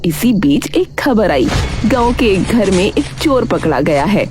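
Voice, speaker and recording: A woman's voice: medium pitch, big pitch swings, measured pace. A woman reading scripted storybook narration for a cartoon tale. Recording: music behind the voice. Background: music.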